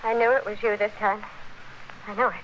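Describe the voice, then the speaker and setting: A woman's voice: medium pitch, little pitch movement, brisk, close to an everyday voice. An actress playing a frightened, pleading woman caught up in a crime, in 1940s radio melodrama style. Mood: anxious. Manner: pleading, nervous, dramatic. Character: frightened, anxious female accomplice in a fraud. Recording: dull, noisy vintage radio recording.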